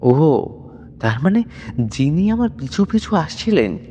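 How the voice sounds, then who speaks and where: A man's voice: a little high, very animated, unhurried, dark tone, lo-fi sound. A man voicing a frightened, respectful male traveller stranded at night in a ghost story. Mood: surprised. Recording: long reverb, noisy background.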